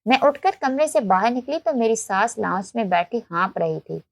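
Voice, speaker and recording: Female narrator for scripted emotional fiction, first person, pitched a little high, very dry recording. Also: animated, normal speed, creaky, no echo. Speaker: story narrator.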